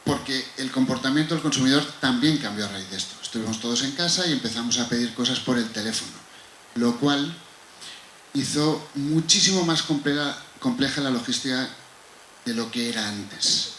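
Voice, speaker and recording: A man's voice: medium pitch, moderate pace, fairly bright tone. A man from Spain with a Spanish accent, answering a question on a conference panel in a conversational, discursive way. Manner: conversational, discursive, with rhetorical asides. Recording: echoey room with faint noise.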